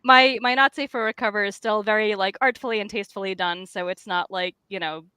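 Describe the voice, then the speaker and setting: A woman comic artist chatting casually about her work, with an animated, self-deprecating, slangy way of talking. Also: mid-range voice, brisk, clear, resonant tone, no echo. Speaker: comic artist and writer, guest.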